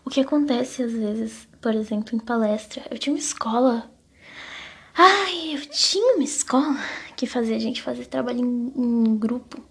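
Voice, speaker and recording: Young Brazilian woman, high, slightly husky voice. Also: animated, normal speed, fairly bright tone. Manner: rambling, self-conscious, stumbles over words. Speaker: podcast host. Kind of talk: casual confessional solo chat.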